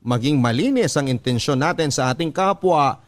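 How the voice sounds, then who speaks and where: A man's voice: on the high side, expressive, normal speed. A man with a Filipino accent delivers earnest, sentimental scripted storytelling in the manner of a radio drama host reading a letter. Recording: music bed under the voice. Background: background music.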